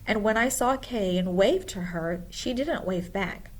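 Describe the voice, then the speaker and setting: Slow, deliberate woman reading a story. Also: mid-range voice, low-quality recording. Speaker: school administrator reading a storybook aloud. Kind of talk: storybook read-aloud narration.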